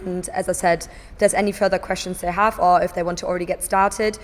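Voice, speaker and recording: A woman on the training staff explains onboarding in a measured, explanatory way. Her voice sits low and stays flat. A long hall reverb trails each phrase.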